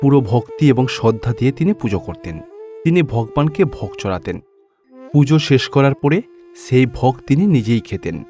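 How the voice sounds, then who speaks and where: A man's voice: medium pitch, animated, moderate pace, mellow, soft background music. A man reading scripted narration for a children's story.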